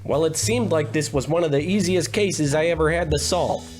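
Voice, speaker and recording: Guy doing a deadpan noir detective, kinda high voice, blustery, old radio play w music under it. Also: normal speed, natural voice. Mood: brooding narration. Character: bumbling, self-important hard-boiled private detective, adult man. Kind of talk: comic 1940s radio noir narration and dialogue.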